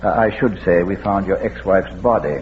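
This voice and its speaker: A man's voice: low-pitched, lightly acted. Male actor as bearded amateur sleuth for vintage radio mystery: urbane, composed, dry wit, dull hissy recording. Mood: deducing, composed. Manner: measured, urbane, dryly witty. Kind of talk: 1940s radio mystery acting.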